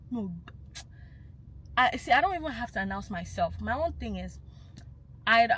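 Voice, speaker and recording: A woman's voice: fairly high pitch, slight background noise, low-quality recording. Passionate young woman. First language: Yoruba. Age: young adult. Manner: passionate and emphatic, slipping into Yoruba phrases. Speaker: vlogger. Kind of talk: casual vlog monologue.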